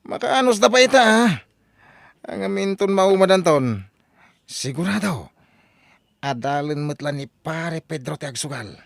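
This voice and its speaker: A man voicing a boastful, teasing husband in an audio drama, his voice high and swooping lively up and down. He sounds close and dry. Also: unhurried, creaky, long pauses, no echo. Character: stubborn, joking husband and father. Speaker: voice actor. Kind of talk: radio drama acting.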